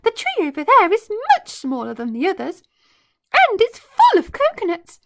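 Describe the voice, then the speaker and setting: A woman's voice: very high-pitched, moderate pace, voice pushed up, heavily acted. Woman doing an eager little boy. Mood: excited. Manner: excited, breathless eagerness. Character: eager young boy, excited and bold.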